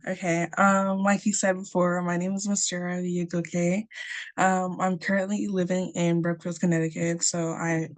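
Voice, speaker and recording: Flat-voiced teenage girl on a video call. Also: on the low side, moderate pace. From Nigeria. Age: teens. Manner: casual, chatty, with fillers. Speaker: panelist, high school student. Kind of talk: personal story on a panel.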